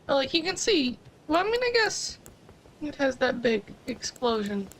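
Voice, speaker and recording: A woman streamer talks through her gameplay in a relaxed, rambling way, often restarting her sentences. Her voice sits high with a crackly, gravelly texture. A faint hiss runs under the recording. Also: moderate pace. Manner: chatty, explains game mechanics with restarts. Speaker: gaming streamer. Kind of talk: casual gameplay commentary.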